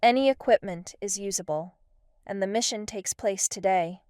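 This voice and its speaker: A woman's voice: medium pitch, normal speed, darkish tone, clear, resonant tone, no room sound, natural voice. A woman playing a composed, probing intelligence handler in an amateur radio drama, direct and businesslike. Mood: businesslike, testing.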